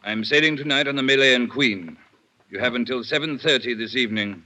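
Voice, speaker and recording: A man's voice: mid-range voice, brisk, sharp tone. An actor playing a formal, menacing plantation owner in a 1950s radio drama, stiff and precise in his speech. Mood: coldly polite.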